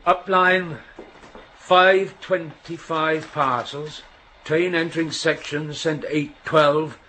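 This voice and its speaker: An actor playing a gruff, teasing middle-aged railway signalman and bossy father with a West Country accent in a radio drama. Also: a little high, fairly even intonation, takes it slowly, bright, forceful tone. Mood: brisk, bossy. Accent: English West Country. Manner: gruff, chiding, colloquial working man's talk. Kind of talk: naturalistic radio drama acting. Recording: radio play with background noise and ambience.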